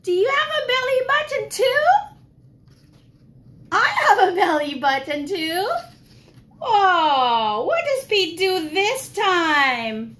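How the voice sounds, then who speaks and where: A woman preschool teacher reading a picture book aloud to young children in an exaggerated, expressive way, asking questions as she goes. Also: very high voice. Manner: gasps and drops to whispers for suspense. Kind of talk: animated children's storytime read-aloud.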